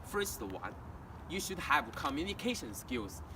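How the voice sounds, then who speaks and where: A young man from China with a Chinese accent, whose first language is Mandarin, gives a halting walk-and-talk presentation as an international student, with frequent restarts. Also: medium pitch, sing-song. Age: young adult. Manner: halting, with frequent restarts and simple learner grammar. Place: outdoors in a town, walking near a house and street. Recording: distant outdoor recording with traffic noise.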